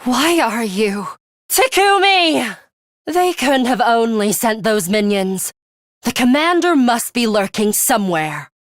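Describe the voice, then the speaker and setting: Game role: imperious, bloodthirsty young female fighter. Unhurried, formal, theatrical menace, dry close sound. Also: on the high side, sing-song, no echo. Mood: commanding. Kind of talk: English video game voice acting.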